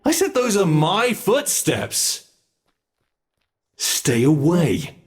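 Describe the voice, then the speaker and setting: A man playing a menacing monster in an ASMR roleplay, taunting captives with theatrical, deliberate mock politeness. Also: high-pitched, wildly swooping pitch, full character voice. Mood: irritated, threatening.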